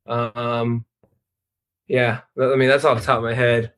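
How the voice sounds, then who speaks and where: A man's voice: medium pitch, level tone, a little slow, fairly bright tone. A young man chatting as a band guest, easygoing and hesitant with lots of "uh" and "you know". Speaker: musician guest. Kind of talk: casual chat.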